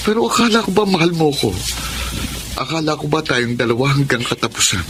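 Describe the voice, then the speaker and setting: High-voiced pleading young Filipino lover. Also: male voice, very animated, brisk. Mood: hurt, pleading. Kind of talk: Filipino radio drama acting. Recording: noisy ambient background.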